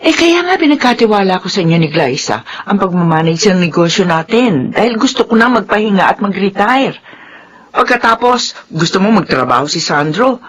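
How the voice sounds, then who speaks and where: A middle-aged Filipino matriarch in a radio drama. Her mid-pitched voice fusses and gently takes charge of the household. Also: very animated, moderate pace, husky. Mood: fussing, firm. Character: retiring, slightly bossy mother who runs the household.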